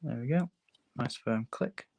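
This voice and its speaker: A man with a hoarse sore throat, hosting a relaxed, chatty livestream tutorial while building a model kit at his workbench. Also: medium pitch, measured pace. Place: hobby workbench, filmed from overhead.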